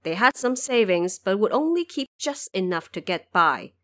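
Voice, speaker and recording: A woman narrating a classic adventure tale in a measured, scripted storytelling manner. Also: medium pitch, sing-song, a bit bright. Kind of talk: scripted audio drama narration.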